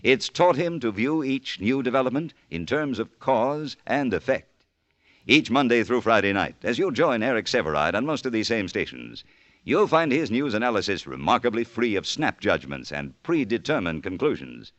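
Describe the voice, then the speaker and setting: A man's voice: mid-range voice, big pitch swings, moderate pace, a bit bright, no room sound. An American man reading a scripted 1950s radio promo in a polished, formal announcer style. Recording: dull vintage radio recording, dry.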